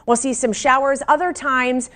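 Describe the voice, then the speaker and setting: A woman's voice: sits high, fairly even intonation, moderate pace, fairly bright tone. An American woman reading local news as an anchor in a scripted newscast style.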